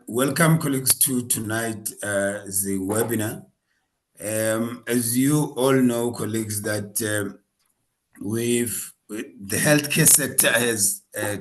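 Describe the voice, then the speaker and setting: A man's voice: on the low side, slow pace, slight background noise. A man introducing the speaker at a webinar, talking with frequent hesitations and restarts. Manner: hesitant, many fillers and restarts. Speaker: association representative introducing the speaker. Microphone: video call.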